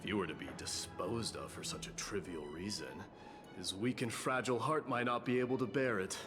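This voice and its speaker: A man's voice: on the low side, brisk, close to an everyday voice. An actor playing a stern warrior man who talks in a curt, cold and menacing way. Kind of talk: game voice acting. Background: game music.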